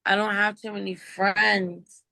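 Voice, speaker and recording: A woman in her forties with a raspy voice, speaking earnestly and a bit apologetically on a video support call. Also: mid-range voice, little pitch movement, a little slow, pushed and bright, no room sound, low-quality recording. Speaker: support group member. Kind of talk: casual group chat.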